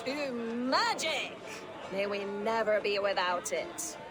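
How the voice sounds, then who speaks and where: An actress playing a mystical, merry giantess in a Norse video game, telling cryptic lore portentously. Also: female voice, fairly high pitch, animated, slow, a crowd in the background. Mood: mysterious, toasting. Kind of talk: video game cutscene dialogue. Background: music.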